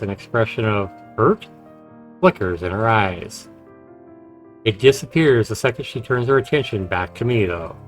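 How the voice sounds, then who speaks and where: A man streaming a game, reading story text aloud and adding casual commentary. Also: medium pitch. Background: game music.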